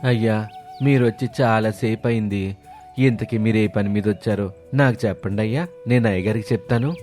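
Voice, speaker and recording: A man voicing a humble but outspoken loyal servant in an animated moral story. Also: lowish voice, moderate pace, lightly acted. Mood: polite. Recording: music behind the voice.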